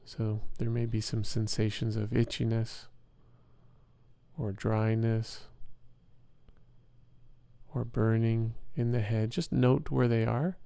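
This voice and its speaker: Low, creaky-voiced man guiding meditation. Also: flat delivery, no echo. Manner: soothing, measured, gentle instruction with pauses. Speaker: meditation instructor, doctor. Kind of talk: guided meditation.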